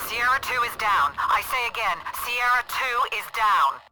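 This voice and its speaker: An actor playing a stern, authoritative military commander giving brisk, official mission briefings in a video game. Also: male voice, very high-pitched, animated, full character voice, rough recording. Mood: grave, urgent. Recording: loud background music.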